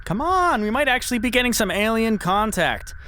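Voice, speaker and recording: A man playing an excitable, needling male space crew member in an audio drama, teasing and eager. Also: extremely high pitch, a little fast, slight background noise, heavily acted.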